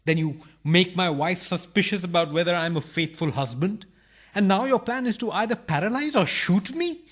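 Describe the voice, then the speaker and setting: High-pitched, animated, cheeky burglar played by a man. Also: moderate pace. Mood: indignant, proud. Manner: protesting, polite, comically literal. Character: indignant, cheeky male burglar, married, comically literal. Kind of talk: farcical radio play acting.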